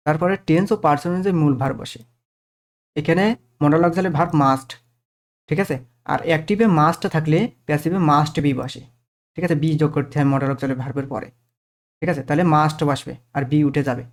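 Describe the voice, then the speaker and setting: A man's voice: fairly high pitch, a little mellow. A man teaching grammar step by step to viewers, explaining each rule and often checking understanding with short tag questions.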